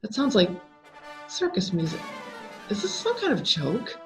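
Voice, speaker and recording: A woman's voice: mid-range voice, wildly swooping pitch, measured pace, faint music behind. A woman voicing a startled, incredulous young heroine who exclaims anxiously in a scary story. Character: startled, disbelieving young woman, defiant heroine of horror tale.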